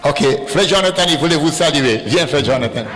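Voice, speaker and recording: A man from Quebec speaking informally in church and interpreting, halting as he searches for words. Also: on the high side, lively intonation. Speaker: visiting preacher, interpreter. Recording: music behind the voice.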